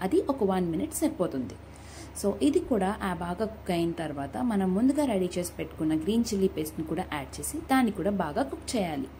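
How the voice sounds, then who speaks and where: A woman's voice: fairly low pitch, sing-song, fast, dark tone, a little hiss or hum. A young woman with a Telugu accent narrating a cooking recipe in a casual, friendly way, talking to her viewers and mixing in English words. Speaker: cooking vlogger.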